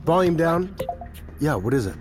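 Harried American dad, swinging wildly in pitch. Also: male voice, big pitch swings, moderate pace, darkish tone, soft background music. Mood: irritated, snapping. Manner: stammering, clipped, evasive. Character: harried, short-tempered father trying to hide his worry. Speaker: actor. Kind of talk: naturalistic audio drama acting. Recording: clear car engine rumble behind. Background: car interior, traffic ambience.

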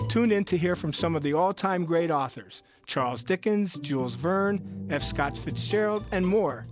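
Male host for a scripted show trailer, fairly high register, music bed clearly underneath. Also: animated, moderate pace. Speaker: podcast host. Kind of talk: scripted podcast promo.

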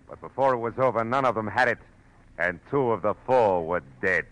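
An American actor playing a tough, wry private eye in a vintage radio detective drama, clipped and slangy. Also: male voice, on the low side, fairly quick, heavy background noise, close to an everyday voice. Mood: hard-boiled, menacing. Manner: clipped, slangy, hard-boiled. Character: tough, wry hard-boiled private eye, adult man. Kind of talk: 1940s radio detective acting and first-person narration. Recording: vintage radio recording with music.

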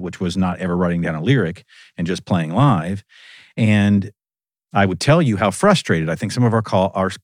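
A man hosting a casual conversation, animated and laughing often. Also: low-pitched, dry recording, studio quality.

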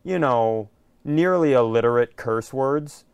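A man with a clean, smooth voice in a very dry recording, riffing casually as a podcast host. He talks in a sardonic, crude comic manner. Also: mid-range voice, measured pace, dry recording, lo-fi sound.

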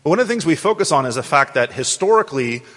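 A man's voice: fairly high pitch, sing-song, rapid-fire, a bit bright. A man conducting a conversational interview, talking as the interviewer to a guest. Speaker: interviewer from a museum. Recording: echoey hall with long reverb.

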